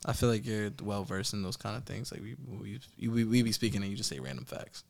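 A man's voice: fairly low pitch, fairly quick, gravelly, no room sound. A man hosting a podcast, asking questions in a laid-back, slangy, joking way. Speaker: podcast host. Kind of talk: casual interview chat.

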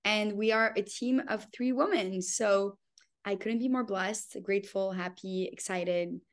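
A woman's voice: medium pitch, steady tone, a little slow. A woman in her twenties, born in France and raised in Canada, talking eagerly as a guest in a casual podcast interview and laughing often. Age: 20s. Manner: enthusiastic, gushing, laughs often.

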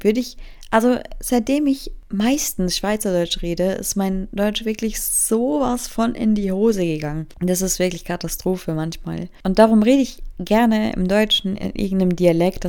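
A woman's voice: fairly low pitch, gravelly, darkish tone. A woman in her mid-twenties from Germany, hosting a casual solo podcast, rambling and self-mocking as she drifts from topic to topic and mixes in English phrases. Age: 20s. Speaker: podcast host.

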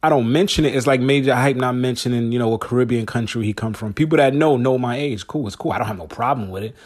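A man's voice: medium pitch, fast. A man in his forties hosting a solo podcast, talking casually and slangily straight to his listeners. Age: 40s. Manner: laid-back, slangy, talks straight to listeners. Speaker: podcast host.

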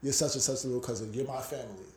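Podcast co-host, New man, warm mellow tone, reflective and earnest, roomy echo. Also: medium pitch, rapid-fire. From Brooklyn, New York. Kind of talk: casual podcast chat.

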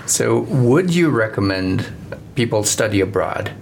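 A woman interviewing a student, asking short questions in a relaxed way. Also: extremely low pitch, a little slow, creaky, a little hiss or hum. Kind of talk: casual interview.

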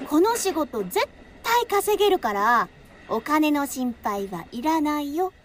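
An actress playing an eager high school girl who coaxes and insists, in an audio drama. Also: very animated, takes it slowly, a little hiss or hum, heavily acted. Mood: confident, coaxing. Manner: bright insistence, coaxing. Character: eager, persuasive high school girl, self-appointed manager.

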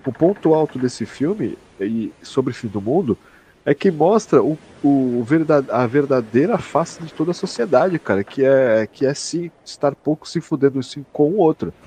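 A man's voice: medium pitch, lively intonation, normal speed, dark tone, slight background noise. A young man with a Brazilian accent, co-hosting a podcast in casual, slangy, sweary talk, often repeating himself. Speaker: podcast co-host. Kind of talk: casual chat.

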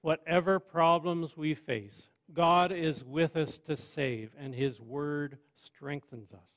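Fairly high-voiced man preaching a sermon. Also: fairly bright tone. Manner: measured, conversational preaching with wry asides. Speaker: pastor.

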